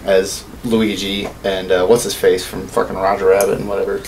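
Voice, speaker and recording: Casual male podcast co-host, 30s, low voice, rambling and sweary, clear room noise behind. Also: level tone, moderate pace, darkish tone, poor audio. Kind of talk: casual opinionated chat about films and games. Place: small home studio room, indoors.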